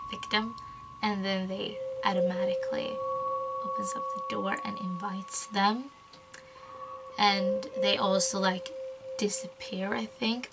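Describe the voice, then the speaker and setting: A woman's voice: mid-range voice, very noisy. A young woman from Sweden with a Swedish accent, rambling casually through a spooky tale in a vlog and dropping often into whispers. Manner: chatty, rambling, slips into whispers and giggles. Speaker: YouTuber. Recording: loud spooky background music.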